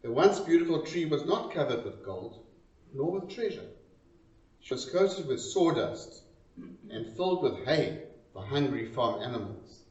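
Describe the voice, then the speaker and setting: A man reads a narrative poem slowly, with wide swings of pitch. His delivery is dramatic and deliberate, broken into short phrases. The sound is dull and set back from the microphone. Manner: expressive, deliberate, pausing between short phrases. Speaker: reader of a poem. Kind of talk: dramatic storytelling recitation. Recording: roomy, distant, dull lo-fi sound.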